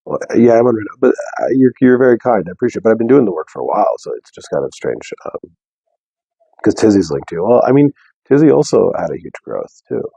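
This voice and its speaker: A young man with a deep bass voice, talking softly and casually to viewers on a live stream. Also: sing-song, quick pace, creaky, slightly rough, no room sound, highs cut off. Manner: soft, unprojected speech. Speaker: livestream host. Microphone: wearing a mic.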